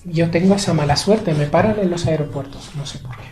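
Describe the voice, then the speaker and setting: A young man from Spain, a guest in a conversational interview, speaking in a measured, hesitant way with many pauses and fillers. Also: on the high side, mellow. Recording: echoey room.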